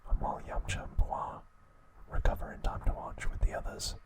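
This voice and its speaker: A man narrating in a breathy, unvoiced ASMR whisper, hushed and measured, in short phrases. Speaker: host of an ASMR trivia channel.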